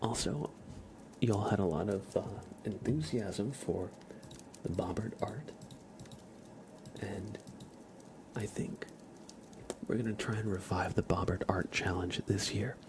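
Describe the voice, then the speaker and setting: A man hosting a casual ASMR livestream, speaking softly and informally, often slipping into a breathy whisper. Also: on the low side, slow, pauses often, noisy background. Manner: relaxed, informal, uses y'all. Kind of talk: casual ASMR stream chat.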